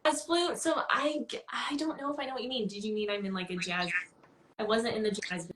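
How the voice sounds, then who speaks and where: A woman's voice: fairly high pitch, fairly even intonation, fairly quick, fairly bright tone. Woman guest chatting in an echoey room. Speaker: guest musician being interviewed. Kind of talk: casual chat. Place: indoor room on a livestream call. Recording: roomy, echoing livestream call.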